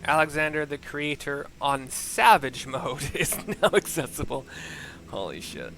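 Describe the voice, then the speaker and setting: A man hosting a gaming video, chatting casually in a halting, rambling way. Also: fairly high pitch, sing-song, fairly bright tone. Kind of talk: casual chat over gameplay.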